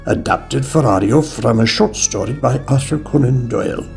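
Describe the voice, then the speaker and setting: A man's voice: medium pitch, normal speed, creaky, faint music behind. A man working as an announcer, reading scripted credits for an audio drama.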